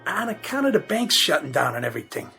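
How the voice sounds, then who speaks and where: A man's voice: sits high, sing-song, quick pace, gravelly, bright, forceful tone, faint music behind, heavily acted. A man playing a plain-spoken working-class repairman in an audio drama, folksy, hesitant, apologetic but firm. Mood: weary, explaining.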